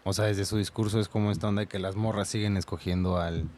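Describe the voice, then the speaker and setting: A man in a casual discussion, chatty and quick to interject. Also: fairly low pitch, steady tone, a little mellow. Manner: chatty, interjects and apologizes playfully.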